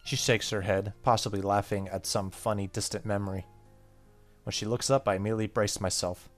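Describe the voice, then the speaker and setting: A man's voice: fairly low pitch, fairly even intonation, a little fast. A man doing a let's play, reading game text aloud and adding casual comments, with game music behind him. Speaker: gaming YouTuber.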